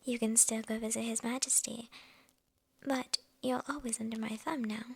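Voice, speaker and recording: A woman playing a lonely, possessive witch in an ASMR roleplay, whispering in a breathy, creaky voice with sly, coy amusement. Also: a little high, steady tone. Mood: possessive, teasing. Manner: unhurried, coy, amused, addressing the listener intimately. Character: lonely, possessive young witch, slyly menacing and teasing.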